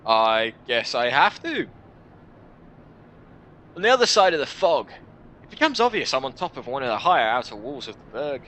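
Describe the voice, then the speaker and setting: A man reading a game's story aloud in the first person, with an expressive storytelling delivery. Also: mid-range voice, moderate pace, long pauses, slight background noise. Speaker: video game let's player reading a visual novel aloud. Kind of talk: dramatic first-person narration of game text with casual asides.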